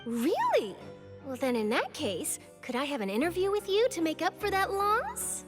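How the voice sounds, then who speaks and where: Young female reporter, bubbly, very high voice, swooping intonation, flattering, playful, video game. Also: normal speed, over music, full character voice. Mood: eager, brisk.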